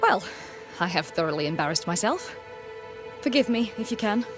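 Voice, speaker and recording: A woman's voice: medium pitch, very animated, moderate pace, over music, natural voice. A woman playing a jittery, apologetic village physician of colonial times, candid and formal in her phrasing. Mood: embarrassed, candid. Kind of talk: dramatic game dialogue.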